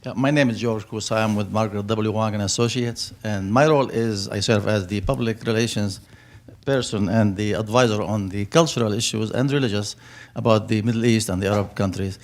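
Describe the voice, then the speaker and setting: Earnest low-voiced Arabic-accented man. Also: fairly even intonation, a little fast. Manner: earnest, wordy, circling back to rephrase. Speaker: audience member asking a question. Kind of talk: long audience question.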